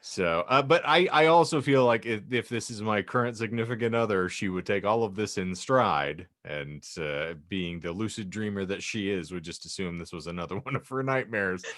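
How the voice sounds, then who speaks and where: A man with a fairly low voice chats as a podcast co-host. He takes the long way through his points, wordy and joking as he goes. The sound is close and dry. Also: moderate pace, few pauses, a bit bright, no echo. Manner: jokey, wordy, meandering. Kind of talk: casual group banter.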